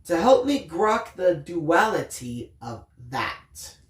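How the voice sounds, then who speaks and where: Woman reading as an earnest bookish boy narrator, lively and roomy. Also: fairly low pitch, takes it slowly. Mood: reflective, wistful. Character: Daniel, earnest bookish boy narrator, secretly alien hunter. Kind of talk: first-person audiobook storytelling.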